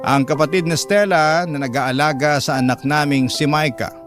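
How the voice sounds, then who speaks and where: A Filipino man narrates a radio drama in the first person. His voice is fairly high for a man, sorrowful and measured, with music clearly behind him. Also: a bit bright.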